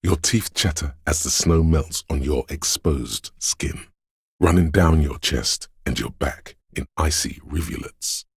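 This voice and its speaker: A man voicing a brooding, omniscient inner voice of a city in a video game, narrating gravely and poetically in a measured, husky, gravelly voice. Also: a bass voice, no echo, studio quality, exaggerated voice. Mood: brooding, solemn. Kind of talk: slow literary second-person game narration.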